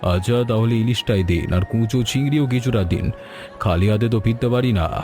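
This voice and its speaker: A man voices a kindly middle-aged village father from a cartoon story. His voice is low and mellow, with an earnest, slightly worried, courteous manner. Music plays behind him. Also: moderate pace, natural voice. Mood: grateful, polite.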